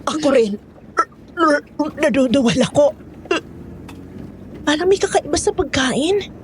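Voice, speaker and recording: A weary Filipino woman cook in her fifties played by a woman in an audio drama. She complains bluntly in a somewhat high voice. There is faint noise behind her. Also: lively intonation, measured pace, darkish tone. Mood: sick. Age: 50s. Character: weary, outspoken fifty-year-old woman cook.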